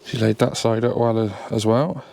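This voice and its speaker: A man's voice: medium pitch, normal speed, creaky. A man with an English accent, sniffly from hay fever, chatting casually outdoors as he inspects his beehive in a bee suit. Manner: relaxed, chatty, thinking aloud. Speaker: beekeeper. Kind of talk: casual narration while working. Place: outdoors at a hive in a grassy apiary. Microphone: camera near the hive, wearing a bee suit.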